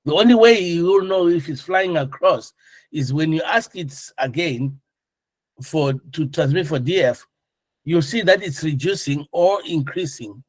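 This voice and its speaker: A man's voice: on the high side, unhurried, dry recording. A man working as an instructor, lecturing informally and explaining things, often checking understanding with questions. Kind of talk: classroom lecture with questions to students.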